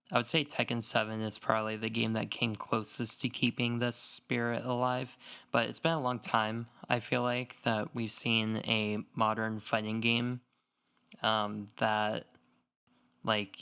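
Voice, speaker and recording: A man's voice: medium pitch, sharp tone, clean tone. A man co-hosting a casual gaming podcast, talking enthusiastically and giving emphatic opinions. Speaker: podcast co-host.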